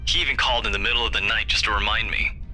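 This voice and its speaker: Quick, breezy young playboy pal. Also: male voice, on the low side, fairly even intonation, sharp tone, soft background music, poor audio. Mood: casual. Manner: casual, teasing. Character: teasing, breezy young male friend, smooth playboy type. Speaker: game voice actor. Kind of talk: visual novel game voice acting.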